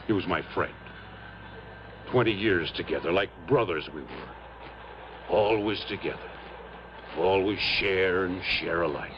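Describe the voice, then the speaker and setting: A deep-voiced miner, mourns his partner in folksy, repetitive, emotional speech. It is vintage radio drama, dull in sound and clearly noisy behind him. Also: a little slow, long pauses. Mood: mournful. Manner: emotional, folksy, repeats himself. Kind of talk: 1950s-60s radio drama acting.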